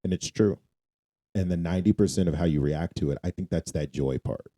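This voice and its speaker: A man's voice: deep voice, brisk, mellow. A man co-hosting a casual faith podcast, affirming with short agreements and sayings. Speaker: podcast co-host.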